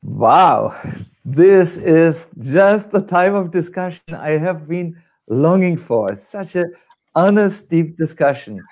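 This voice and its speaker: A man with a German accent speaks as a spiritual teacher, high and very lively in pitch. His delivery is slow, with many hesitant fillers, and his tone is mellow and warm. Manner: hesitant fillers, chuckling, vivid examples. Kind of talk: answering in a spiritual discussion.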